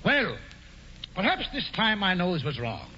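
An actor playing a pompous, scheming colonial governor, grandiose and sly in 1940s radio acting, on a muffled old broadcast. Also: male voice, high voice, wildly swooping pitch, unhurried, sharp tone, slight background noise, heavily acted. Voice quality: grandiose, mock-genial, sly. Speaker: governor Stuyvesant.

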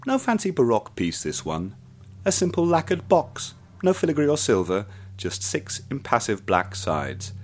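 An actor narrating as a ruined, obsessive man, telling his tale slowly and grimly in first person. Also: mid-range voice, wildly swooping pitch. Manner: slow, grim first-person storytelling. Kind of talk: radio play narration. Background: music.

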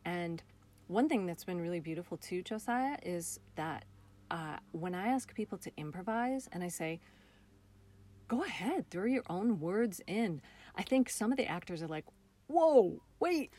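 A woman speaking as a theater director in a reflective interview, with an expressive, earnest way of talking. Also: on the low side, a little slow.

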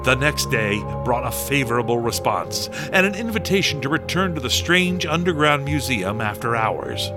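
An actor serving as narrator of a radio horror drama, reading in a measured, literary storytelling style. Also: male voice, medium pitch, big pitch swings, over music. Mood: narrating.

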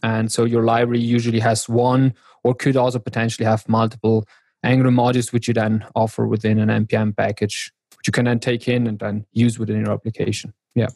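A man's voice: fairly low pitch, level tone, brisk, creaky, darkish tone, no echo. Man, dry sound. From South Tyrol, northern Italy. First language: German. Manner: explanatory, with restarts. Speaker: guest software developer. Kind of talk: casual technical chat.

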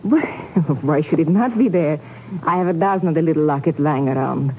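An actress playing a sly, sultry foreign femme fatale with coy, formal phrasing in a 1950s radio drama. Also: female voice, deep voice, moderate pace, lightly acted. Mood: coy, evasive. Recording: muffled, noisy old radio recording.

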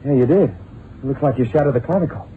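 An actor playing an anxious, eager detective's sidekick in a radio crime drama, urgent and questioning. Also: male voice, mid-range voice, a little fast, close to an everyday voice. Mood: concerned, curious. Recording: muffled noisy vintage radio drama recording.

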